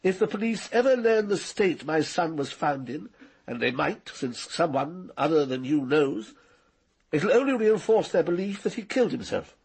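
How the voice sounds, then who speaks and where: Casting: cold-blooded patrician villain, mature, gravelly and husky yet fairly high-pitched, condescending, radio drama. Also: male voice, expressive, normal speed, exaggerated voice, rough recording. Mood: cold, menacing. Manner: condescending, precise, coolly menacing. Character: arrogant, cold-blooded male scientist knight, middle-aged or older.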